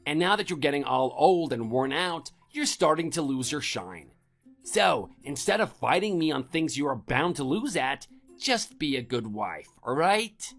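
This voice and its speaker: A man's voice: on the high side, big pitch swings, a little slow, a bit bright, soft background music, exaggerated voice. An actor playing a domineering, contemptuous middle-aged husband scolding his wife in a scripted audio drama.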